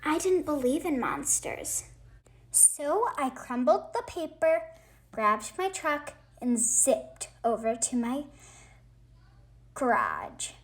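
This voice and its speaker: A woman reading a picture book aloud in an expressive, halting way with emphatic phrasing. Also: high voice. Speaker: read-aloud host.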